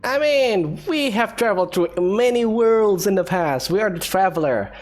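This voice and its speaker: A woman's voice: mid-range voice, expressive, moderate pace, a little mellow. A woman hosting a gaming video, giving casual commentary and reading dialogue along.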